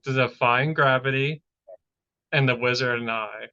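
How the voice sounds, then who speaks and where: Bright-voiced man hosting a casual podcast. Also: mid-range voice, fairly even intonation, measured pace. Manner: rambling, heavy filler "like". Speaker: podcast host. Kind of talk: casual chat. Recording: dull lo-fi audio.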